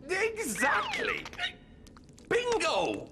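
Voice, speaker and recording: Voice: very high voice, huge pitch swings, very slow, heavy background noise, full character voice. An actor voicing a drunken, giddy electric particle in a cartoon, rambling jollily. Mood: giddy, excited. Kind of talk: cartoon voice acting.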